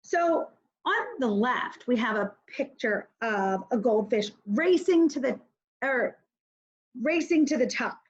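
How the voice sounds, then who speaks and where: A woman's voice: fairly high pitch, animated, slow pace, dull audio. A woman kindergarten teacher giving a recorded reading lesson, speaking simply and instructively straight to young children, with questions and thinking aloud.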